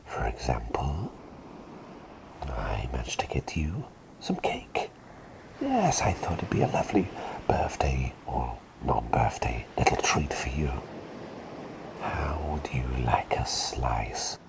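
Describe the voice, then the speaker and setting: A man voicing a gentle, whimsical plague doctor caretaker in an ASMR roleplay, whispering softly and breathily in halting, reassuring repeated phrases. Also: very deep voice, big pitch swings, gravelly, background noise, heavily acted. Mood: whimsical, welcoming.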